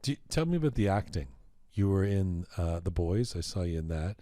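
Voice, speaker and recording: A man's voice: low voice, sing-song, fairly quick, mellow, dry recording. A male host asking curious, probing questions in a studio interview.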